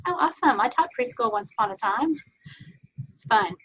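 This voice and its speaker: A woman's voice: on the high side, animated, a little fast, fairly bright tone, slightly rough, poor audio. A woman from Texas leading an online class, friendly and chatty, often laughing as she welcomes students over a video call. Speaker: course instructor.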